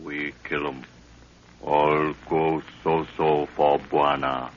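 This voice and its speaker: A loyal, stoic warrior guide in an old radio adventure, with an extremely deep voice. He speaks very slowly in terse, broken pidgin English. The recording is dull and noisy, from the 1930s. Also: level tone, exaggerated voice. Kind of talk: 1930s radio drama acting.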